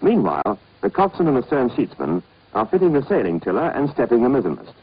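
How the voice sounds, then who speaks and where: A man's voice: mid-range voice, sing-song, fast, husky. A British man narrating an instructional training film in a clipped, scripted, instructive manner. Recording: dull, band-limited old film soundtrack.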